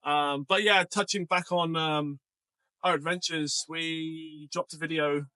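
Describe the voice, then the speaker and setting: A man co-hosting a podcast, chatting casually and telling stories in a rambling, animated way. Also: a little high, unhurried, no room sound. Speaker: podcast co-host. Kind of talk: casual podcast chat about off-road adventures.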